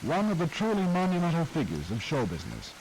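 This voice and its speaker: A man's voice: on the high side, wildly swooping pitch, fast talker, darkish tone, slight background noise, poor audio. Wry male documentary narrator.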